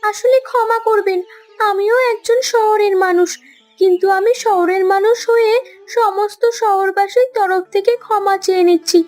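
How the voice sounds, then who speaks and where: A woman playing a kind, outspoken young city woman in a cartoon, caring and brisk. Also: extremely high pitch, steady tone, darkish tone, soft background music. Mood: reassuring. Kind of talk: Bengali cartoon story acting.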